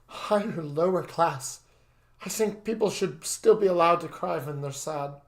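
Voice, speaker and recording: Story role, read by a man: mournful young heir, sullen and argumentative, fairly high male voice. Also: a little slow. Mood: upset, pleading. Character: grieving young upper-class heir, tearful and stubborn.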